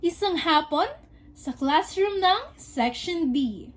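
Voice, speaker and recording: Young woman narrating a radio drama from a script, high voice. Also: animated, slow, background noise.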